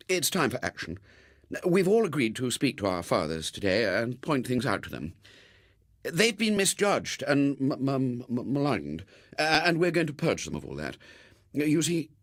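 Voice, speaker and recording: A man's voice: medium pitch, no room sound, clean studio sound. A man voicing an earnest, flustered, self-righteous young British man who stammers and pleads, in a dramatised audiobook.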